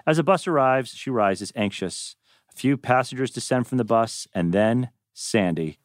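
A man reads out script directions as narrator. His medium-pitched voice moves up and down with lots of expression, and the sound is dry and close. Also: expressive, normal speed, no echo. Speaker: narrator reading stage directions. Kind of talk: animated script reading.

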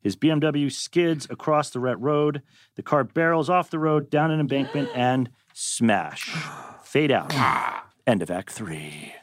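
A man's voice: mid-range voice, animated, measured pace, dry recording. A man narrating scene headings and action as the stage directions reader at a live script table read.

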